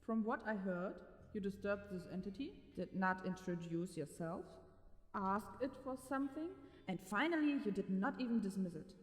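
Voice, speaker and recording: A woman's voice: medium pitch, moderate pace, natural voice. A woman playing a stern young woman who knows the occult, speaking coldly and bluntly in a dramatised horror story. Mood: matter-of-fact, blunt. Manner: cold, blunt, commanding. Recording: echoey with long reverb tail.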